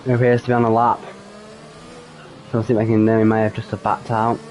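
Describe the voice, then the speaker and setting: A man giving solo live commentary on an online racing league, slightly croaky from a cold, hesitant with frequent ums and self-corrections. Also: lowish voice, completely flat delivery, fast talker, darkish tone, slight background noise, rough recording.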